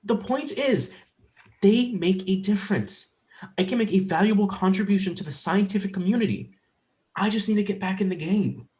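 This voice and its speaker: An earnest, insistent college researcher, acted by a young performer in a drama reading. The mid-pitched voice sits between male and female and sounds defensive. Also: normal speed, darkish tone, lightly acted. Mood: earnest pleading.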